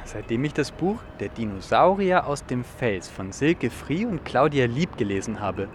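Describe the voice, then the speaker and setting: A man acting an eager, excitable young dinosaur enthusiast in a youth radio play, explaining things dramatically. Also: mid-range voice, moderate pace, a little hiss or hum, natural voice. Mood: proud, eager. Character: eager, excitable young male dinosaur fan named Michel. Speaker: actor in an educational audio drama.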